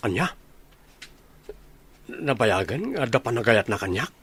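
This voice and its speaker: A man's voice: medium pitch, sing-song, unhurried, a bit bright, slightly rough, exaggerated voice. A man playing an eager, lovestruck young bridegroom and affectionate son in an Ilocano radio drama. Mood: elated, curious. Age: young adult.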